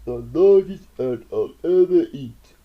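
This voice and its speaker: A reader voicing a hungry anteater that talks in slow, drawn-out mumbles. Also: medium pitch, lively intonation, muffled recording, pitched above the performer's own voice. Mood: pleading, hungry. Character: starving anteater, slow and pleading, later sly.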